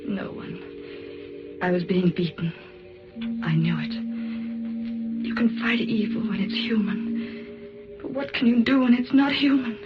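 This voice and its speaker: A woman's voice: fairly high pitch, unhurried, long pauses, over loud music, natural voice. An actress playing a frightened, hysterical woman haunted by her torment, melodramatic and breathless, in old-time radio suspense style. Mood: haunted dread. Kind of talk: old-time radio suspense acting, first-person narration. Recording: noisy, dull vintage radio recording.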